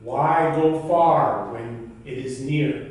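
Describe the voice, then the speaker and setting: A man voicing a smug, foolish retired father in a folk tale, slow and knowing. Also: medium pitch, a little mellow, voice pushed down, natural voice. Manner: slow, knowing, self-satisfied. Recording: very echoey room, distant mic.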